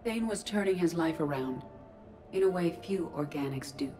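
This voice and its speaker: An actress plays a female artificial intelligence from a video game in a fairly low register. She is measured and precise, analytical yet sincere, as in a spoken tribute. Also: lively intonation, music in the background, natural voice. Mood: sincere, composed. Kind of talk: video game cutscene acting, eulogy.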